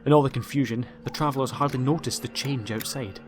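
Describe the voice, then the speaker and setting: Man, audiobook narrator, scripted dramatic storytelling, clear music bed behind the voice. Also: medium pitch, fast talker.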